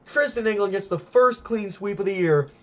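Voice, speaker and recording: A man commentating on a simulated monster truck event in an excited play-by-play style, hyped and exclaiming often, as his voice starts to go. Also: sits high, moderate pace. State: losing his voice.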